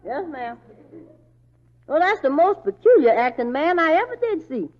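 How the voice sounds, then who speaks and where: A woman's voice: high voice, sing-song, normal speed. A woman playing a deferential, chatty servant with folksy speech in an old radio drama. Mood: musing. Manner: folksy, colloquial. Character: Clara, deferential, chatty female servant with opinions. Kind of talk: 1940s radio acting. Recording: dull old radio recording.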